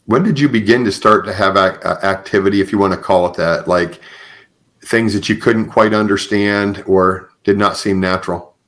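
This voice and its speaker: Low-pitched man hosting a podcast interview. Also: moderate pace, a bit bright. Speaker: podcast host and author.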